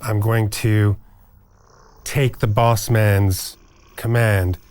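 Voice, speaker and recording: A man's voice: fairly low pitch, steady tone, very slow, darkish tone. A man casually stating his moves as a player in a tabletop roleplaying game.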